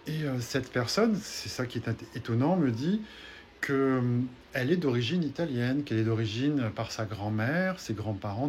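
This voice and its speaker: Man, middle pitch, casual testimony voice, halting with fillers, faint hiss behind. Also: a little mellow. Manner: hesitant, many fillers and restarts. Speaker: soul healer sharing a session.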